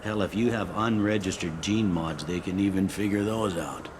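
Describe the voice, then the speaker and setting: A man acts out a pragmatic human police captain in a sci-fi game. His voice is low and his delivery is wry, blunt and matter-of-fact. Clear ambient noise sits behind him. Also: moderate pace, natural voice. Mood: wry, helpful. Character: gruff, pragmatic human male police captain on a space station. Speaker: security captain character. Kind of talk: video game dialogue.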